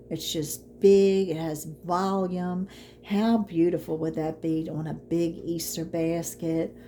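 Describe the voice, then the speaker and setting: A woman's voice: fairly low pitch, warm tone, a little hiss or hum. A woman, losing her voice, chatting through a craft tutorial in an encouraging way. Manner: chatty, encouraging, says y'all a lot. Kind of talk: casual how-to vlog.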